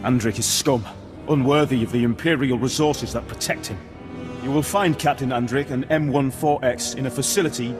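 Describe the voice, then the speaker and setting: A man's voice: fairly high pitch, natural voice. An actor playing a haughty, hot-tempered male Imperial officer in a video game, pompous and imperious. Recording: reverberant hall with loud game music.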